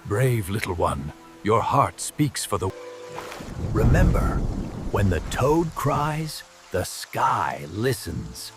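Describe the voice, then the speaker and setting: A man voicing a grand, benevolent king of the sky in an animated fairy tale, solemn and stately. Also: on the low side, slow pace, music in the background, full character voice. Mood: solemn, kindly.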